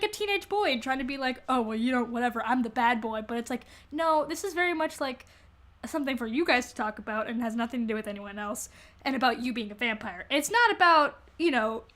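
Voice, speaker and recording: A woman with a high voice co-hosts a casual book podcast. Her talk is informal and giggly, full of exclamations and incredulous asides. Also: fairly bright tone. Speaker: podcast co-host. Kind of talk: casual book discussion chat.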